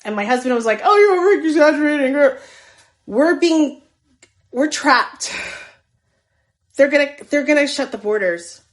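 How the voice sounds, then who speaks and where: A woman's voice: sits high, expressive, unhurried, long pauses. A woman talking casually in a social media video, anxious and urgent, with many filler words.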